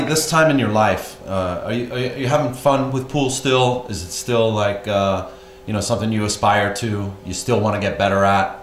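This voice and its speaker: A man's voice: on the low side, a little hiss or hum. A male interviewer asking casual questions, hesitating often with "uh" and restarting his sentences. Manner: hesitant, many "uh" pauses and restarts. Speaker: interviewer, host of the channel. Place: room in a private house. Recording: roomy echo, faint room ambience.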